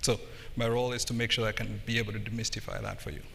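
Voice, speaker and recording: A man's voice: mid-range voice, level tone, very fast, creaky, sharp tone. A middle-aged man from Kenya speaking as a panelist at a conference, recorded in a live hall. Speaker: panelist, tech company internal audit executive. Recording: echoey hall with background noise.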